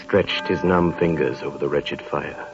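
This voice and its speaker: A man narrating a 1930s radio drama in a measured storytelling manner. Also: extremely low pitch, fairly even intonation, gravelly. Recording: dull 1930s radio broadcast with music.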